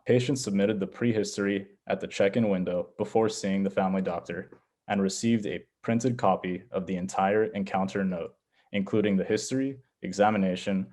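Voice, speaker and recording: A man's voice: fairly low pitch, dark tone. A man giving scripted explanatory answers as a panelist on a health education program.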